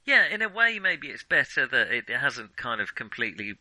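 Guy in his 40s, really bright crisp-edged voice, dry recording, kinda rambly and unsure, podcast film chat. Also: medium pitch, big pitch swings, normal speed, dry recording, poor audio. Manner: hesitant, self-questioning, rambling. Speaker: podcast co-host. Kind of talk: casual film discussion.

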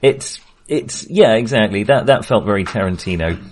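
Low-pitched man, hesitant film chat. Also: expressive, normal speed, darkish tone, slight background noise. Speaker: film podcast host. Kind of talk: casual film discussion.

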